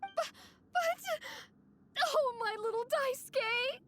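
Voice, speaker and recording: A woman playing an anxious, polite and gentle village mother in an anime-style video game, with a fretful manner. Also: very high-pitched, slow, background noise. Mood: worried, pleading.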